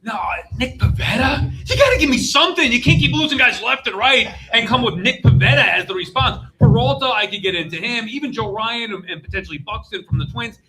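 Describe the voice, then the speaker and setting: A man's voice: very high-pitched, fairly quick, few pauses, crowd noise, low-quality recording. A man hosting a live sports panel debate, opinionated and punchy, prodding the others with questions. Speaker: sports show host and moderator. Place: live stage at a fan convention.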